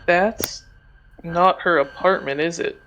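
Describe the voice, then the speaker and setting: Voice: medium pitch, slow pace, a bit bright, faint music behind, low-quality recording. A tabletop roleplaying game player chatting casually at the game.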